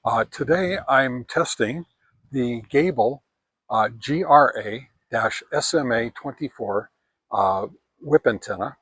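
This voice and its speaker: An older American man giving a casual, unhurried product review, explaining things step by step. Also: mid-range voice, a bit bright, breathy edge. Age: older, 60s or above.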